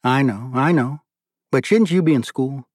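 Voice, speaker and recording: Audiobook role: polite, sincere male regular read by a man, mid-pitched, close dry sound. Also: normal speed, darkish tone, no room sound, natural voice. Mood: courteous, sincere. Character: courteous, sincere male regular customer, gently playful.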